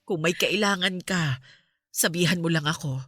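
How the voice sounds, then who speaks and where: A woman playing a loyal, comforting best friend in a Filipino radio drama, reassuring and steady, with a Filipino accent. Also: on the low side, moderate pace, dry recording, clean studio sound. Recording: music behind the voice. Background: music.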